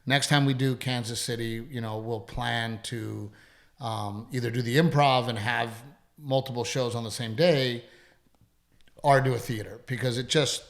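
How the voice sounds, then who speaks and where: A man's voice: medium pitch, steady tone, moderate pace, creaky, a bit bright. A middle-aged man, a comedian hosting a podcast, chatting casually in a rambling, profane, self-deprecating way. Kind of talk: casual chat.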